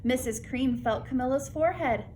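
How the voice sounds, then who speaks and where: A woman reading a children's story aloud as host, with expressive, dramatic emphasis. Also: high-pitched, moderate pace, a little hiss or hum.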